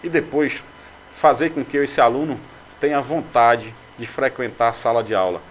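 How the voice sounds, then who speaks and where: A man's voice: mid-range voice, moderate pace, slight background noise. A man from Brazil, a city councilman and candidate, answering radio interview questions with many fillers and restarts. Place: local radio studio.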